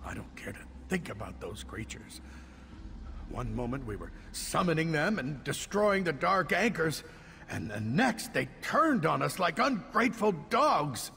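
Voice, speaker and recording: A cavernous, reverberant game recording of a fearful middle-aged mage held captive. He is indignant and theatrical, with a creaky edge and animated, swinging pitch. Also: a little slow, exaggerated voice. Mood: shaken, ashamed. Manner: agitated, theatrical. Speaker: game character actor. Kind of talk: video game dialogue acting. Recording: long reverb, background noise.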